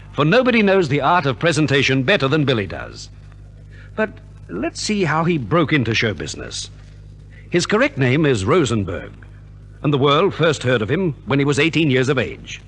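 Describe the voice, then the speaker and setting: A man's voice: medium pitch, very animated, normal speed, fairly bright tone, husky. A man narrating a scripted 1950s radio programme in a theatrical manner.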